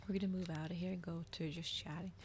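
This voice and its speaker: A woman livestreamer chatting casually in a soft ASMR style, often dropping toward a whisper. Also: lowish voice, flat delivery, fast, background noise. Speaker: livestreamer hosting an ASMR reading stream.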